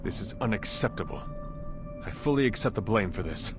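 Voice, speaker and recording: A dignified leader in a game cutscene. His fairly low voice is formal and earnest, set against loud music. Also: lively intonation, brisk, gravelly. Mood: grave, concerned. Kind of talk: video game cutscene acting.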